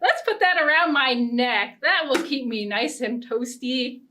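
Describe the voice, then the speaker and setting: Slow-paced woman hosting a children's storytime. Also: high voice. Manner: playful, expressive, simple talk addressed to small children. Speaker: children's librarian, storytime host. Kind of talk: children's storytime with read-aloud story and songs.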